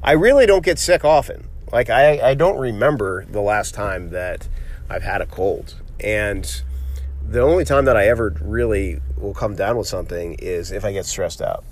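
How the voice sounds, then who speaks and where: A man's voice: fairly low pitch, animated. Man with a stuffy head cold. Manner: reflective, motivational, halting with fillers and restarts. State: nasal and sniffly from a cold. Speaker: podcast host, physician. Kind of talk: casual solo podcast monologue.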